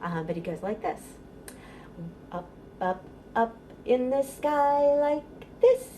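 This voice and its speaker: Slow-paced American woman leading baby storytime. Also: high voice, animated, warm tone, a little hiss or hum. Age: middle-aged. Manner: sing-song, drawn-out rhymes with encouraging asides to parents. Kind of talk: instructional storytime with rhymes for babies.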